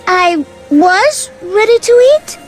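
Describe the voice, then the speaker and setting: A woman's voice: very high voice, expressive, slow, faint music behind, heavily acted. A woman voicing an eager, hungry, childlike monster girl in a video game, exclaiming in a childish way. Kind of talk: video game cutscene acting.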